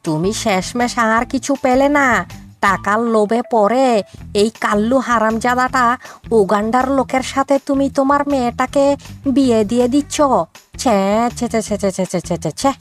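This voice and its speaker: Woman as a scolding cartoon animal, high voice, scornful, mocking, busybody, faint music. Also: lightly acted. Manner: scornful, repetitive tutting. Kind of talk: cartoon voice acting.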